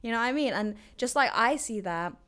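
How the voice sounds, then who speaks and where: A woman's voice: fairly high pitch, lively intonation, fairly quick. A woman hosting a casual interview chat, encouraging and supportive toward her guest. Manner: warm, encouraging. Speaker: host.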